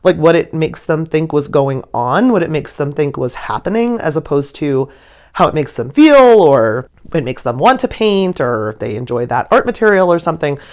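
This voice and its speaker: A woman's voice: low-pitched, big pitch swings, moderate pace, a little mellow. A woman telling stories and chatting casually to viewers in a playful, gushing way, often with a giggle in her words. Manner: giggly, laughs through sentences. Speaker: artist presenting own painting video. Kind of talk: casual storytelling chat, voice-over to viewers.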